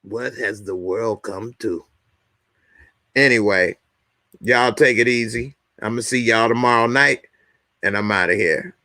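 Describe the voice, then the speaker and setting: Joking man in his forties, low voice. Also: unhurried, pauses often, pushed and bright, no room sound. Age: 40s. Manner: joking, repeats lines for emphasis. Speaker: YouTube show host. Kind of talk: casual chat to viewers.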